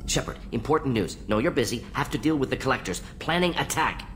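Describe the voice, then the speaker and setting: An actor playing an intense alien scientist in a video game, speaking in brisk, clipped, analytical fragments. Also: male voice, lively intonation, a bit bright, close to an everyday voice. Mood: urgent, rapid. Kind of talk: video game character acting. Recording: clear low rumbling background noise.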